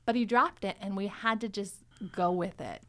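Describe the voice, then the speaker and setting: A woman hosting a casual chat, telling stories in an animated way and quoting other people's lines. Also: medium pitch, normal speed. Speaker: podcast host and show editor.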